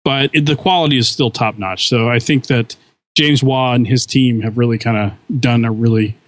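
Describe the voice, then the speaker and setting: A man's voice: medium pitch, fairly even intonation, moderate pace. A man co-hosting a casual podcast, talking with enthusiasm and often restarting his sentences. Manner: enthusiastic, rambling with frequent restarts. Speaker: podcast co-host.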